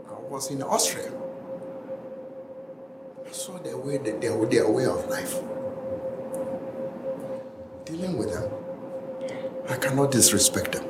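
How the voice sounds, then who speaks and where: A man with a Ghanaian accent giving an emphatic classroom lecture, repeating phrases for stress. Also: mid-range voice. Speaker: college lecturer. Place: classroom, indoors. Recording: echoey classroom, noisy, room ambience.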